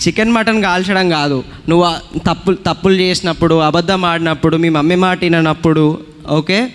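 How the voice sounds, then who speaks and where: Male children's Bible teacher and puppet host: high voice, patient admonishing talk, noisy echoing hall. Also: fairly even intonation, a little fast, smooth. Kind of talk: simple conversational teaching to children. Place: hall at a church children's programme.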